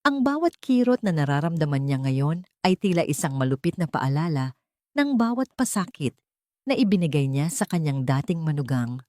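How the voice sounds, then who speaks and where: A woman's voice: sits low, very animated, normal speed, a little mellow, no room sound, studio quality. A woman storyteller narrating a scripted drama in a dramatic style.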